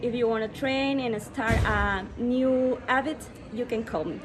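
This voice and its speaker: A woman's voice: on the high side, unhurried, over music. A woman from Ecuador with a Latin American Spanish accent, briefly introducing herself as a trainer. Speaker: personal trainer, interview guest.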